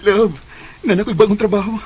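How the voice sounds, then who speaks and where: A man's voice: sits high, fairly even intonation, a little fast, darkish tone, husky. A man with a Filipino accent acting a devoted, playful young boyfriend in a radio drama. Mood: happy.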